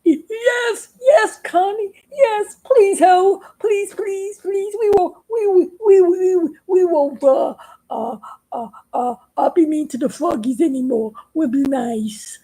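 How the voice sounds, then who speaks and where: A woman's voice: extremely high pitch, big pitch swings, slow, gravelly, darkish tone, pitched above the performer's own voice, exaggerated voice. A woman voicing a pair of toads, snooty at first and then stammering in fear. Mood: terrified, stammering. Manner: stammering, sing-song taunting.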